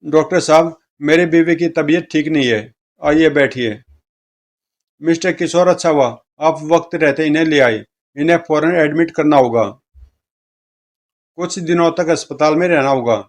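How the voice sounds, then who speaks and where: Guy reading out comic strips, does all the dialogue himself, voice a bit on the high side. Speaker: comic book reader, narrator.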